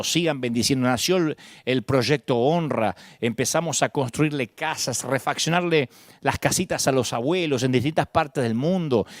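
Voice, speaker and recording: A middle-aged man with a Buenos Aires Argentine accent, preaching a sermon as pastor, expressive and emphatic, swinging between high peaks and low asides. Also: no room sound, clean studio sound. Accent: Argentine Spanish, Buenos Aires.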